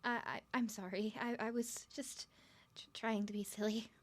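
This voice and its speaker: Woman acting as a shy smitten girlfriend type, higher-pitched, heavy stutter, flustered rambling, nervous, ASMR roleplay. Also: fairly even intonation, moderate pace, lightly acted. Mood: shy, eager.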